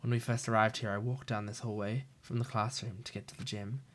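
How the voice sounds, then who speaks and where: A woman's voice: a bass voice, steady tone, a little fast. A woman streamer reading a video game's text aloud in a subdued way, with casual asides. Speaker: let's-play streamer.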